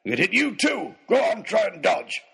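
Casting: pugnacious storybook baronet, very raspy, high for a man, pompous and bellowing. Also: very animated, moderate pace, fairly bright tone, heavily acted. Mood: scornful taunting. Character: blustering, pugnacious aristocratic baronet, an adventurer. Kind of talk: storybook character voice.

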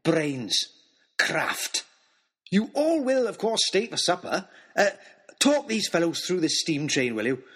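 A man's voice: sing-song, slow pace, a bit bright, husky, heavily acted. A man doing a jolly giant old host. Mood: jovial. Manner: booming, delighted, gushing exclamations.

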